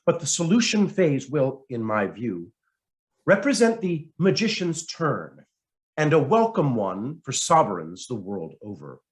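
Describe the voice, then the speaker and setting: A man reading a written essay aloud as scripted narration, in a measured, dramatic way with deliberate pauses. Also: mid-range voice, sing-song. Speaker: reader of a written article.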